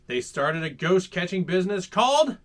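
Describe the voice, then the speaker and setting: A man hosting a children's story time, reading aloud in a playful, dramatic, animated way. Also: high voice, normal speed. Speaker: host reading children's stories.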